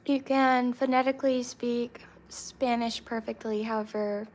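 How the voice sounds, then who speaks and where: A young woman chatting casually with her viewers, relaxed and reading comments aloud. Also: on the high side, unhurried, a little hiss or hum. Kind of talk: casual chat with live chat.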